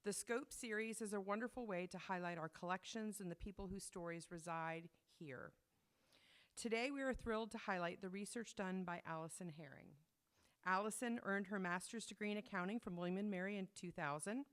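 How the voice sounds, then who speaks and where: A woman introducing a guest speaker at a library event in a formal, measured way, reading into a microphone. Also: mid-range voice, clear, resonant tone. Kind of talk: read introduction. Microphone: microphone at a podium.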